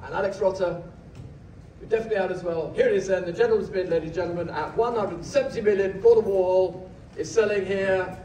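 A man working as an auctioneer, calling bids in a steady, rhythmic way in a large packed auction hall, recorded from the audience. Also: high voice, background noise. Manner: rhythmic, measured bid-calling. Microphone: podium mic, filmed by phone from the audience. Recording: distant phone recording in echoey hall. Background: room ambience.

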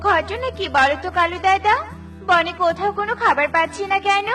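Cartoon bird, small and female, extremely high-voiced, chirpy, caring and inquisitive, over clear music. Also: brisk, sharp tone. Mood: chirpy, concerned. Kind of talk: Bengali children's cartoon dialogue. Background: children's music.

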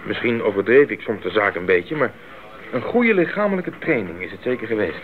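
A man's voice: fairly low pitch, big pitch swings, fairly quick. An actor playing an idealistic, eager young male missionary in a 1980s radio drama, speaking earnestly and articulately. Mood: cheerful. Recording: narrow-band 1980s radio recording, faint noise.

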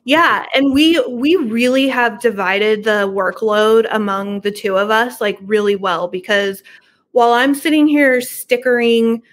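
A woman's voice: on the high side, measured pace. Middle-aged woman chatting on a video call. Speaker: podcast guest, horror book subscription business owner. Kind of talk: casual interview chat. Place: living room at home, video call.

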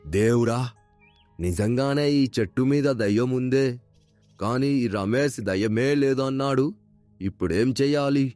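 A man playing a gullible, easily frightened villager in an animated moral story. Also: medium pitch, unhurried, no echo, faint music behind, lightly acted.

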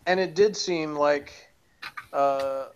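A man's voice: fairly high pitch, slow pace, clean tone, muffled recording. A man in his forties, a podcast co-host, chatting casually from a home office in a rambling, hesitant way with many fillers. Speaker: podcast co-host reviewing audio dramas. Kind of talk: casual chat. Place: home office with bookshelves, indoors.

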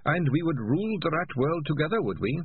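A man voicing a small, earnest male rat in a storybook reading, calm, polite and thoughtful. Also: a little high, fairly quick, breathy edge, dry recording, pitched above the performer's own voice, full character voice. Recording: tinny, lo-fi sound with faint noise.

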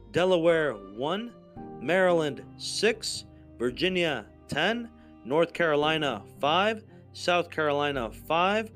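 A man giving a formal, scripted reading as a podcast narrator, in a measured, deliberate manner. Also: on the high side, fairly bright tone. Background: music.

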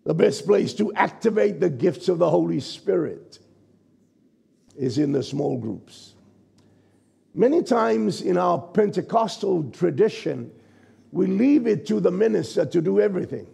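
A man in his sixties, a pastor from New Zealand, preaching a sermon from a church stage with a croaky, gravelly voice and a conversational manner. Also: high voice, animated, a little slow, mellow. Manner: conversational preaching, checks in with the congregation. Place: church stage, large indoor hall. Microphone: stage mic at a lectern, far camera.

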